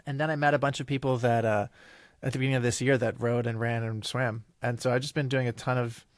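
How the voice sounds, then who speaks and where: A man's voice: medium pitch, level tone, fast talker, no echo, studio quality. A man in his thirties, raised in Italy with Italian as his first language, talking as a podcast guest in a thoughtful, rambling way with restarts. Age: 30s. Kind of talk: casual interview chat.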